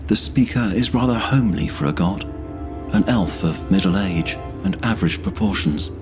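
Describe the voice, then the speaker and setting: A man narrating scripted fantasy as a storyteller in a solemn, measured manner. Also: low-pitched, lively intonation, over music.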